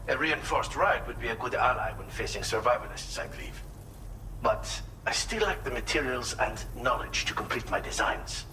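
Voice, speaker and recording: An actor playing a thoughtful, helpful mechanic in a video game, explaining things in a measured, slightly hesitant way. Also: male voice, medium pitch, pushed and bright, a little hiss or hum, poor audio. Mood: earnest, friendly. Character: thoughtful male inventor mechanic, earnest and helpful. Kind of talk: video game cutscene dialogue.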